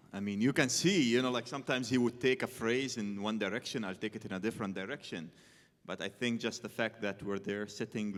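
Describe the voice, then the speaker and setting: A man speaking reflectively as a guest on stage, hesitant and full of filler phrases. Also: fairly low pitch. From northern Israel. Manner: hesitant, frequent "you know". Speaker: interview guest, violinist. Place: on stage in a concert hall. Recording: reverberant concert hall.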